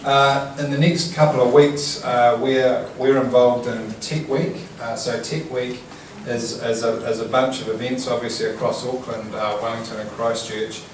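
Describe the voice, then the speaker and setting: A man with a loud, projecting voice giving an informal corporate welcome talk. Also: mid-range voice, flat delivery, moderate pace. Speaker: company general manager welcoming guests. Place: indoor event venue in an office building. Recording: echoey room, distant mic, noisy.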